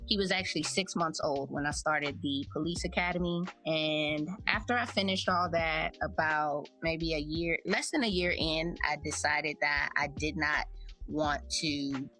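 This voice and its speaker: Low-voiced woman telling her story. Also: measured pace. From United States. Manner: relaxed, chatty, reflective. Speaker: podcast host. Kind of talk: casual personal storytelling.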